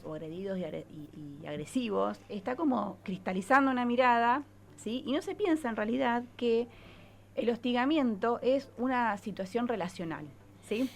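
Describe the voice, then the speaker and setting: A woman's voice: on the high side, lively intonation, moderate pace, slight background noise. A woman with an Argentine accent, a psychologist columnist explaining things on radio and often checking in with short tag questions.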